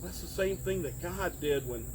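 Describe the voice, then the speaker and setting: Give the part of a friendly Bible patriarch telling stories to children to a man acting it out. His voice sits a little high, and he chats along, putting questions to his young listeners. The recording is outdoors, with clear insect noise around him. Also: normal speed, close to an everyday voice. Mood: gentle, earnest. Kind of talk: children's storytelling. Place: outdoors among trees and plants.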